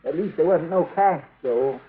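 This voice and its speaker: A man's voice: fairly high pitch, moderate pace, slightly rough, phone-quality sound. An actor with an American accent playing a folksy, earnest, deferential lawman's sidekick in an old radio western. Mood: earnest, reporting. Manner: folksy, plain-spoken, earnest. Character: folksy, deferential male frontier deputy's sidekick. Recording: thin, noisy old radio recording.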